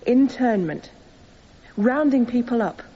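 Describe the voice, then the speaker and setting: A woman's voice: a little high, lively intonation, slow, slightly rough, lo-fi sound, exaggerated voice. A woman playing an earnest, defiant young defendant giving impassioned, emphatic testimony in a radio courtroom drama. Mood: insistent.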